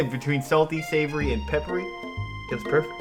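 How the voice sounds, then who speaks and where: A man's voice: a little high, normal speed, faint music behind. A giggly man co-hosting a gaming video, with casual banter and playful reading of lines. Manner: giggly, jokey.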